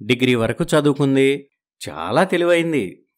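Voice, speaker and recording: A man's voice: medium pitch, very animated, moderate pace, natural voice. A man playing a pragmatic, matter-of-fact old grandfather in a family story. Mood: bargaining. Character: pragmatic old grandfather pushing his favourite granddaughter's match.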